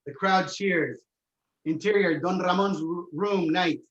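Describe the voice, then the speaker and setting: A man narrates a screenplay, reading out scene headings and stage directions. His voice sits a bit high, and the room rings with echo. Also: measured pace, a bit bright, muffled recording, a little hiss or hum. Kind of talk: scripted narration, screenplay table read. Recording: roomy, echoing home recording.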